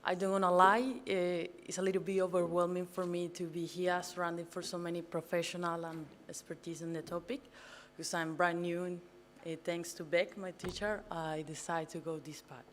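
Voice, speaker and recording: A woman's voice: lowish voice, little pitch movement, moderate pace, a little hiss or hum. A young woman from Colombia with a Colombian Spanish accent, giving a prepared talk to an audience as a master's student, earnest and a little nervous, stumbling on some words. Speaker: guest speaker, master's student. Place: event venue.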